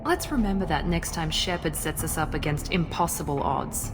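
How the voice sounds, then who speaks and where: Female game squadmate, deep for a woman, dry and capable, clear music underneath. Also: animated, moderate pace. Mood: wry. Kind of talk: video game cutscene acting.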